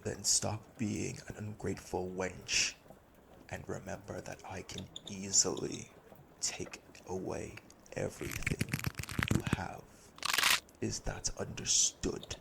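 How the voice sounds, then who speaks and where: An actor playing a cold, cruel, domineering vampire elder brother in an audio drama, speaking deliberately with gravelly menace. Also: male voice, low voice, little pitch movement, heavy background noise, heavily acted. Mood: angry, domineering. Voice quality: gravelly, creaky. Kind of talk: dramatic audio roleplay acting.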